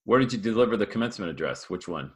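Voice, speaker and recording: A man's voice: fairly low pitch, animated, racing. A man hosting a motivational podcast over a video call, hyped and upbeat, full of pumped-up encouragement. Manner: hyped, pumped-up, shouty catchphrases. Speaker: podcast host. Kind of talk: high-energy motivational podcast interview.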